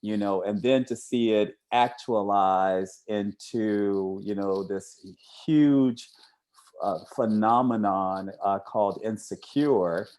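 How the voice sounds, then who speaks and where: Mellow, lowish-voiced American man hosting. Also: takes it slowly. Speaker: interview host. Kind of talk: casual chat.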